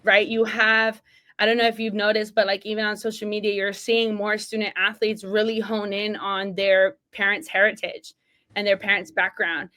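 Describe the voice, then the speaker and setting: A woman's voice: medium pitch, flat delivery, moderate pace, pushed and bright. A woman from Mexico, an associate athletic director, talking as a podcast guest in an earnest, emphatic way, often checking in with "right?". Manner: single mom. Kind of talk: casual interview talk.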